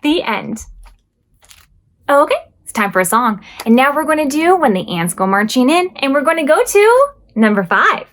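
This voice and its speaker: A woman hosting a preschool storytime as a children's librarian, reading picture books aloud expressively with drawn-out words. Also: sits high. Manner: expressive, drawn-out words and acted sound effects.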